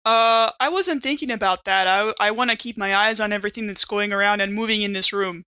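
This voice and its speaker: Bright-voiced woman in a dry recording. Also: mid-range voice, brisk, clear, resonant tone, dry recording. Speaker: player in a tabletop role-playing game. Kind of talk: casual game-table chat.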